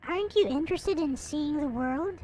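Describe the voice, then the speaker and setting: A woman voicing a gentle, persistent young woman counselor, kindly and earnest, in a visual novel. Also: sits high, expressive, moderate pace, low-quality recording. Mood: earnest urging.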